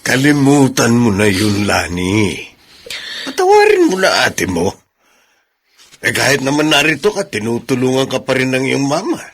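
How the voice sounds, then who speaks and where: An actor playing a kindly, protective middle-aged uncle in a Filipino radio drama. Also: male voice, medium pitch, sing-song, normal speed, a bit bright. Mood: gentle, soothing.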